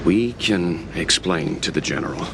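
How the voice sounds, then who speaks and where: A man's voice: sits low, animated, unhurried, background noise. An actor playing a serious, protective male companion in a video game, grave and terse. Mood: firm, concerned. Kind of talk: English video game voice acting. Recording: music and effects behind the voice.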